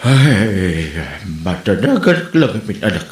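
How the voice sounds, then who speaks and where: Teasing older uncle, audio drama: male actor with lively swooping intonation, exclaiming, echoey room. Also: fairly low pitch, slow pace.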